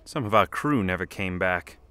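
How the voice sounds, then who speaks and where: A man's voice: deep voice, expressive, moderate pace, bright, forceful tone, clean tone, no echo. A man playing a grim sailor in a fantasy audio drama. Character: grim male sailor recounting lost crewmates.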